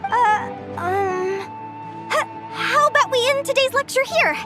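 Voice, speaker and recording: A woman's voice: very high voice, lively intonation, measured pace, music in the background. A woman playing a sweet, bashful, enthusiastic young scholar in an anime game, flustered and gushing.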